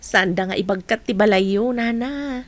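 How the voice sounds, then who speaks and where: A woman's voice: medium pitch, moderate pace, close to an everyday voice. A woman playing a kind, reassuring young woman with an Ilocano accent in a radio drama, respectful to her elders. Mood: friendly.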